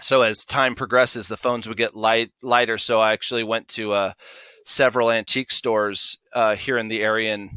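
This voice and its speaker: A man's voice: on the low side, little pitch movement, moderate pace, pushed and bright, clean tone, no room sound. A man casually telling anecdotes in an interview.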